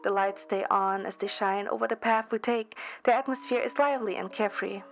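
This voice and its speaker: Female amateur narrator, mid-pitched, scripted first-person storytelling with music underneath. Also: steady tone, fairly quick. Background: background music.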